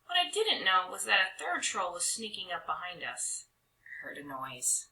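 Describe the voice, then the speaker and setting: A woman narrates a children's story with lots of drama. Her voice is very bright and swoops expressively in pitch, and the room adds a clear echo. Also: huge pitch swings, normal speed, a little hiss or hum. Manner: dramatic, with stretched words and hushed drops. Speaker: storybook reader. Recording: roomy echo.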